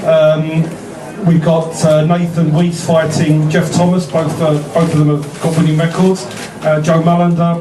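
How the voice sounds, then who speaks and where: A man, a boxing promoter running a press conference, businesslike and halting with fillers. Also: fairly high pitch. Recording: echoey room, far mic, noisy.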